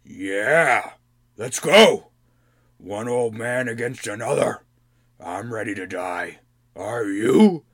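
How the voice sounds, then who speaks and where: A man playing a gruff defiant old goblin king. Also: lowish voice, lively intonation, takes it slowly, fairly bright tone, heavily acted.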